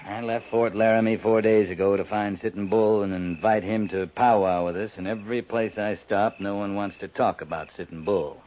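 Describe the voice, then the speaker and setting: A man playing a steady, weary cavalry captain in a 1950s radio western, speaking in a measured, dry, understated way. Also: on the low side, natural voice. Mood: dry, resolute. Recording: dull, noisy 1950s radio recording.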